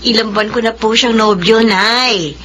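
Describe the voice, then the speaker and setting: A woman's voice: fairly high pitch, normal speed, fairly bright tone, lightly acted. An actress playing a devoted, determined woman of thirty-three in a radio drama, earnest and emotive. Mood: earnest, defensive. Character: devoted, determined 33-year-old woman in love. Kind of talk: Filipino radio drama acting.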